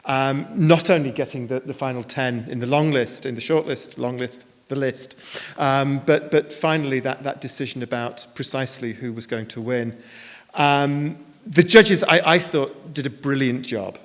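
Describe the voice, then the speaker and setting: A man's voice: medium pitch, normal speed. A man informally presenting at a live event, hesitant and rephrasing as he goes, with a light-hearted touch. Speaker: event presenter announcing competition winners. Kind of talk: informal live stage announcement. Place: live event venue, indoors. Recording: echoey hall at a live event.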